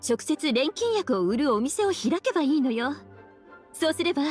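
Sultry witch librarian, languid big-sister teasing, fairly high voice. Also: very animated, normal speed, a little mellow, soft background music. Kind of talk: Japanese game dub.

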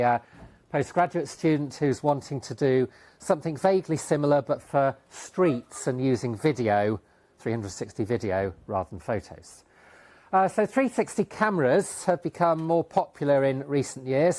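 A man's voice: fairly high pitch, a little mellow. A middle-aged man giving a technical talk in a lecture hall, speaking with many ums and restarts. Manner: hesitant, many fillers and restarts. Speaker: conference speaker. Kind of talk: technical conference talk with slides.

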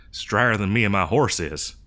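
A man's voice: lowish voice, bright, forceful tone. Guy doing a folksy old west drifter, exasperated n rambly, voice swings up and down, comedy audio roleplay. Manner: folksy, rambling, hesitant improvised delivery. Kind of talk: improvised comedic audio roleplay addressed to the listener.

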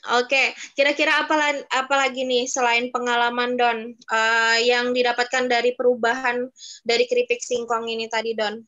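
A woman's voice: on the high side, little pitch movement, normal speed, pushed and bright, highs cut off. A woman in her twenties teaching students in a casual, chatty way over a video call. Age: 20s. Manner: chatty, addresses students as younger siblings. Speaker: webinar speaker.

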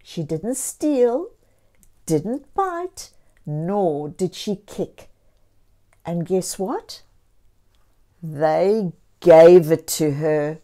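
A woman storyteller reading a picture book aloud to young children, expressively and deliberately, with encouraging asides. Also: fairly low pitch, expressive, warm tone. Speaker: children's storyteller and channel host.

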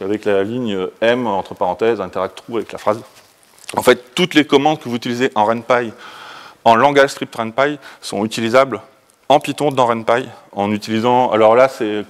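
A man, giving an informal technical talk as a conference speaker, in a casual, colloquial, hurried manner. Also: mid-range voice. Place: indoor presentation room, standing by a projection screen.

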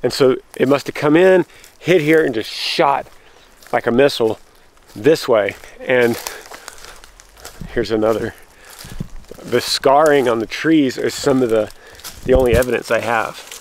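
A man filming a walking vlog outdoors in rural woods on a handheld camera, talking excitedly to viewers and breaking off mid-sentence. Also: mid-range voice, measured pace, long pauses, slight background noise. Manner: excitable, breaks off and restarts, addresses viewers as guys. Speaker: vlogger showing storm damage. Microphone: handheld camera while walking.

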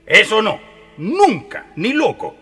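A man's voice: high voice, wildly swooping pitch, takes it slowly, bright, forceful tone, slightly rough, full character voice. An actor playing a naive, earnest male shopkeeper in a radio drama, candid and flustered, repeating himself politely. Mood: earnest, insistent. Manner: flustered, repetitive, pleading politeness. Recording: music behind the voice.

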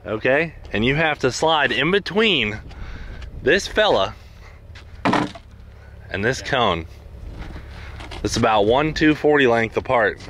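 A man in his thirties chatting casually and excitedly in slang, as a car vlog host. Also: mid-range voice, slow, pauses often. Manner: slangy, excited bro talk. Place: in a car and outdoors at a racetrack. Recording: loud car and outdoor noise.

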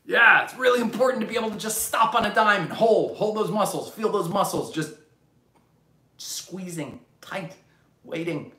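A man in his thirties coaching a live online gym class for kids from his living room, calling out energetic commands and countdowns. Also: high-pitched, moderate pace. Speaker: fitness coach. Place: bright living room, indoors. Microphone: camera across the room. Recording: echoey room.

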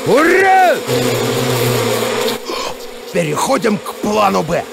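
A man voicing a scrappy, overconfident dog in a cartoon, gravelly and brash in a colloquial way. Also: high-pitched, huge pitch swings, slow, heavy background noise, heavily acted. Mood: excited. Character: scrappy, overconfident male dog, eager schemer. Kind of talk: animated cartoon acting. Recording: music behind the voice.

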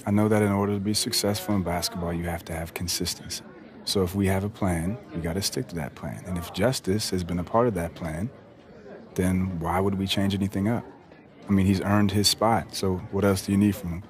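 An American actor playing a young pro basketball star in a video game, easygoing, confident and slangy. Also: male voice, low voice, fairly even intonation, a little fast, lightly acted. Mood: earnest. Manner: casual, slangy, friendly banter. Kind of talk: naturalistic video game cutscene dialogue.

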